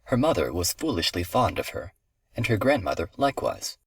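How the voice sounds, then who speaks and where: A man's voice: fairly low pitch, sing-song, a little fast, gravelly, husky, no echo. A man reading a scripted fairy tale for children as a storyteller.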